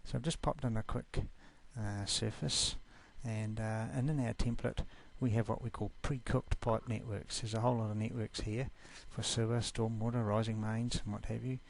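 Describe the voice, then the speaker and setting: Gravelly-voiced New Zealand man presenting. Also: lowish voice, steady tone, moderate pace, hardly any pauses, slight background noise. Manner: easygoing, informal, down-to-earth. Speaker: presenter of a software class. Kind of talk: casual screencast walkthrough.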